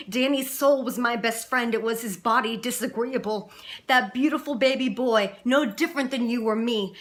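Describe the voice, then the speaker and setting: A woman's voice: a little high, fairly bright tone. A young adult woman performing dramatic slam poetry with no music, in a rhythmic, emphatic, rhyming delivery. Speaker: slam poet.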